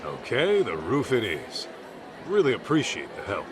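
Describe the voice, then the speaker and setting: A man playing an easygoing, gruff middle-aged private detective in a video game, talking in a casual way. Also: medium pitch, huge pitch swings, normal speed, a crowd in the background, noisy background. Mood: businesslike. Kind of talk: video game cutscene acting.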